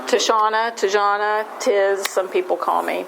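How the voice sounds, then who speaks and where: A woman's voice: medium pitch, fairly even intonation, normal speed, gravelly, fairly bright tone, a little hiss or hum, poor audio. Rambling West Virginian woman sharing her story. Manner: rambling, folksy, self-deprecating, frequent restarts. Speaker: speaker at a recovery meeting. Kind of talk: personal recovery share.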